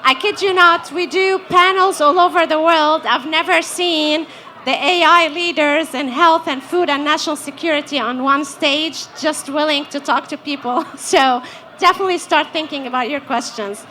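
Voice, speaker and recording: A woman's voice: high voice, moderate pace, pushed and bright, a little hiss or hum. A Lebanese-accented woman from Lebanon leading questions on a live panel, enthusiastic and fond of tag questions. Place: stage at a festival panel.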